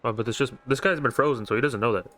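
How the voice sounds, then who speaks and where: A man's voice: medium pitch, racing, a bit bright. A young man giving casual, slangy commentary as he plays a video game. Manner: slangy, informal. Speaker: gaming video creator. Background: game music.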